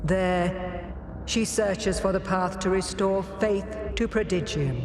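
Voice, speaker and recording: A performer voicing an aging, stern alien former ruler in a game, speaking in a measured, solemn, commanding manner. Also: mid-range voice. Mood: brooding, solemn. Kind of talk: scripted dramatic game cutscene dialogue. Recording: long hall reverb over loud music.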